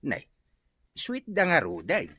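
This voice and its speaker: A man's voice: fairly high pitch, wildly swooping pitch, slow, sharp tone, husky, dry recording, exaggerated voice. A man playing a disapproving neighbour who puts up with village gossip in an Ilocano radio drama. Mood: wry.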